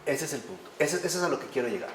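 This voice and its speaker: Male lecturer, animated, asks the audience rhetorical questions, echoey room with faint background hum. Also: mid-range voice, rapid-fire. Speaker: priest teaching a class. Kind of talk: conversational lecture on faith.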